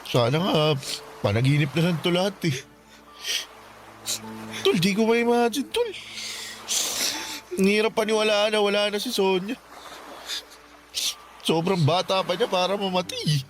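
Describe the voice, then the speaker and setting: A man's voice: sits high, animated, measured pace, pauses often. An actor playing a grieving young man in a radio drama, speaking earnestly and sorrowfully. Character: grieving young man, the narrator's past self. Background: music.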